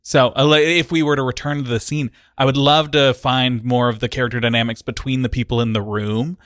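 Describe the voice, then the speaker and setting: A man's voice: medium pitch, little pitch movement, fairly quick, fairly bright tone, dry recording, studio quality. A man giving casual improv feedback as a coach, explaining things in an encouraging way.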